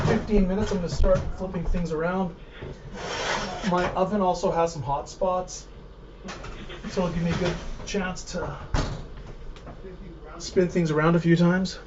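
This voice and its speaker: A man's voice: sits high, fairly even intonation, moderate pace, long pauses. Chatty man cooking on a livestream. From Canada. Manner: chatty, joking, narrates his cooking steps. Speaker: cooking show host. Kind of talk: casual live cooking stream. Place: home kitchen. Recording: dull lo-fi livestream audio with noise.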